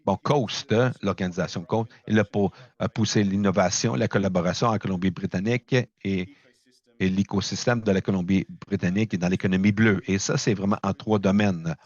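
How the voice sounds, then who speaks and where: A man giving a conference talk over a video call, halting, with many fillers, speaking French as a second language. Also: low voice, fairly even intonation, highs cut off. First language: not French. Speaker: presenter at a launch event. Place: remote location, speaking in from afar.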